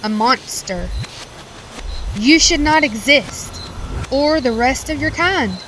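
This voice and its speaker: Woman as a slow, merciless hooded executioner. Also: high-pitched, animated, fairly bright tone, slight background noise, exaggerated voice. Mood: cold condemnation. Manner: solemn, deliberate pronouncements. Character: cold, merciless red-hooded cult executioner. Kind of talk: audio drama acting.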